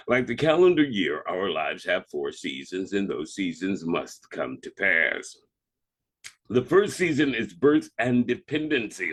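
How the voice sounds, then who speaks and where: A man speaking as a reverend, reading scripture and devotional reflections in a measured, deliberate way. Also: lowish voice.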